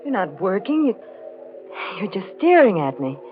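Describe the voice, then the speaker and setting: A woman's voice: mid-range voice, big pitch swings, normal speed, close to an everyday voice. A woman playing a genteel, polite, unsuspecting lady in a 1940s radio drama. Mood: polite, curious. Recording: muffled, noisy 1940s radio recording.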